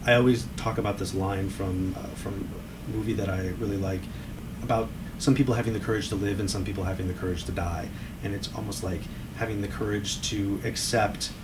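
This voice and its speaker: A man musing haltingly in a podcast discussion, recorded at a distance in a noisy room. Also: on the low side, steady tone. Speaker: podcast panelist. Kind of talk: conversational discussion.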